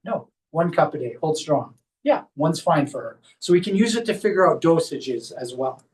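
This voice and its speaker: A man's voice: fairly high pitch, expressive, moderate pace, darkish tone, far from the mic. A man, a chiropractor, giving a hands-on demonstration workshop and explaining each step as he guides a volunteer. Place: clinic workshop room.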